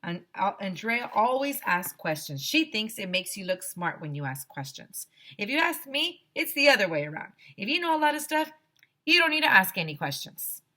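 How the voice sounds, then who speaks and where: A woman reads a children's chapter book aloud like a teacher at story time. Her voice is bright and swings widely in pitch. She is expressive and acts out the story as she goes. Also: moderate pace. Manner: expressive, dramatizes the dialogue. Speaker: teacher reading aloud to students.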